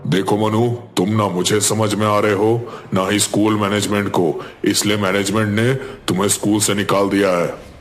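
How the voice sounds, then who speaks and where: A man's voice: on the low side, little pitch movement, moderate pace, a little hiss or hum, natural voice. A man playing a stern, authoritative schoolteacher who admonishes his class in a cartoon. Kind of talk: Hindi cartoon dialogue.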